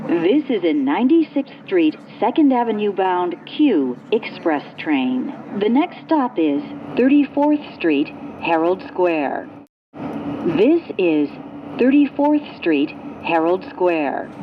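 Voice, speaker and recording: A woman reading scripted train stop announcements in a formal, measured way, with pieced-together phrasing. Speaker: transit announcer. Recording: muffled recording with rumbling train noise. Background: subway train ambience.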